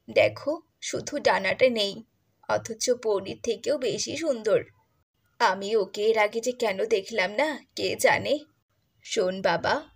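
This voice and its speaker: A woman playing a decisive, outspoken older mother of a groom in a cartoon moral tale, husky and emphatic. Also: medium pitch, heavily acted. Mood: admiring.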